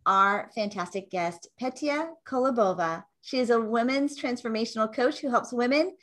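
A woman's voice: fairly high pitch, normal speed, fairly bright tone. Upbeat woman hosting an interview. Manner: upbeat, enthusiastic. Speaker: podcast host.

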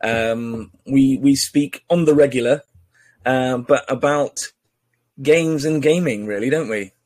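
A man's voice: medium pitch, takes it slowly, darkish tone, no echo. A man hosting a casual podcast interview, chatty and teasing, with many fillers and restarts. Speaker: podcast host.

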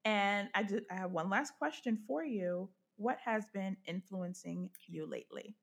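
A woman's voice: medium pitch, moderate pace, vocal fry. A woman hosting a podcast interview, upbeat and encouraging, gushing with enthusiasm. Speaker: podcast host. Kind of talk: casual interview chat.

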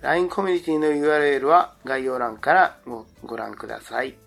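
A man's voice: medium pitch, lively intonation, a little fast, vocal fry, a bit bright, faint music behind. A man hosting a casual marketing talk, analytical in manner and mixing slang with business jargon. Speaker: podcast host.

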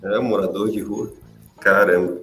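A man telling a story in casual podcast chat, in a relaxed, laid-back way. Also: fairly low pitch, a little slow. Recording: roomy sound with faint music.

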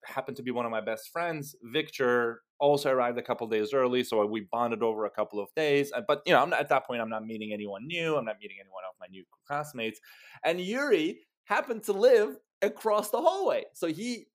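A man's voice: medium pitch, brisk. Man telling personal stories conversationally. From Turkey. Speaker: interview guest, startup co-founder.

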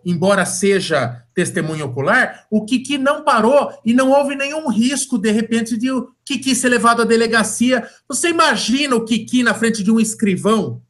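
Running podcast host, man, high and bright, jokey, swears a lot, rambles. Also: expressive, moderate pace, hardly any pauses. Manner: joking, profane, rambling. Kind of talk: casual chat.

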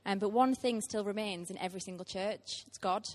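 A woman's voice: mid-range voice, fairly quick. A woman, preaching a heartfelt sermon to a church with frequent ums. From Teesside, England. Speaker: guest preacher.

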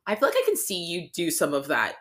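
A woman's voice: fairly low pitch, huge pitch swings, fast talker. A young adult woman co-hosting a podcast, chatting casually and rambling with lots of "like" fillers. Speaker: podcast co-host.

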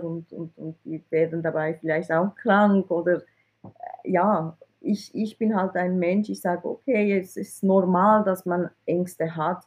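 A woman's voice: fairly low pitch, a little mellow, husky. A woman, from Iran, answering interview questions in a candid way and often closing a thought with a questioning tag. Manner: candid, repeats words when searching, ends points with "oder?". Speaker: IT recruitment consultant.

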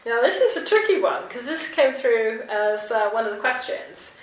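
A woman's voice: a little high, expressive, normal speed, recorded from a distance. A woman interviewer asking questions in a hesitant, appreciative way. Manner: hesitant, with fillers, warmly appreciative. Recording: roomy, from off-mic with faint noise.

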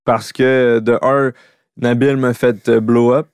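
Un jeune homme québécois, voix claire et sèche, hauteur moyenne, bavarde sans se presser, argot et anglicismes à l'appui. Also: male voice, medium pitch, takes it slowly, clean tone, dry recording, studio quality. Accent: Québécois French. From Quebec. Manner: relaxed, slangy, mixes in English words. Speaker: YouTuber, podcast co-host. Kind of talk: casual chat.

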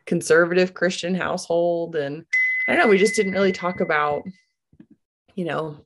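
Woman from Michigan, late twenties, slightly low voice, earnest, pauses often. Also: background noise. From Grand Rapids, USA. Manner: earnest, laughs lightly, many "um" pauses. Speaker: podcast guest, business founder. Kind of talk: casual interview.

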